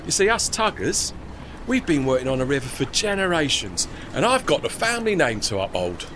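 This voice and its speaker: A man's voice: a little high, big pitch swings, brisk, noisy background. An actor playing a chatty, deferential working-class tugman with a London Cockney accent and a rambling, folksy manner in a period audio drama. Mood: proud, affable.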